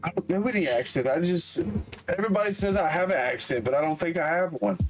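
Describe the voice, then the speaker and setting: A man's voice: fairly high pitch, fast, over music, low-quality recording. A young man with a Southern US country accent, chatting in an easygoing, wry way as an interview guest. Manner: relaxed, easygoing, mildly wry. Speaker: guest, streamer and truck driver. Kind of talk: casual chat.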